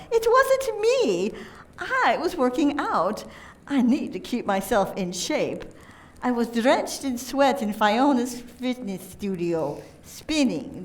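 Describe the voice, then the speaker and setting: Swooping, spoiled rich party girl played by an actress. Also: a little high. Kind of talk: amateur radio play acting.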